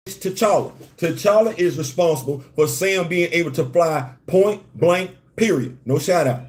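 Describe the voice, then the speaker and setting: A man from New York with a hint of a Southern accent hosts a live stream, his voice sitting a little high for a man. He talks in emphatic, slangy bursts, repeating lines for weight and calling out to viewers as they join. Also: a little slow. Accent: Southern-tinged New York. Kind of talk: animated live-stream commentary.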